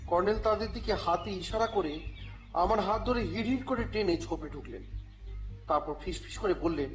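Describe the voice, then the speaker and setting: Audio story lead: wry, exasperated reporter telling his detective tale, played by a man, high-pitched male, music bed behind. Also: normal speed, lightly acted. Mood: tense, exasperated. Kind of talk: dramatized first-person story narration.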